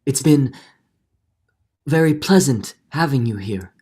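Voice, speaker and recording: A man's voice: mid-range voice, animated, slow pace, a little mellow, breathy edge. A man playing a middle-aged judge, earnest and guilt-ridden, in a dramatic 1940s radio drama style with hesitant turns. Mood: hesitant, yearning. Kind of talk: 1940s radio drama acting with first-person narration.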